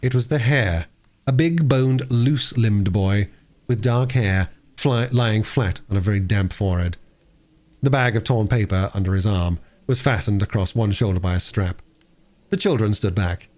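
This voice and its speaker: A man narrating a story as an audiobook reader, with expressive delivery. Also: on the low side, moderate pace.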